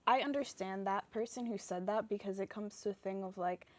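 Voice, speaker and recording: A woman's voice: medium pitch, brisk. A young woman from the United States, a guest explaining her view in a thoughtful, earnest way. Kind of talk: casual discussion.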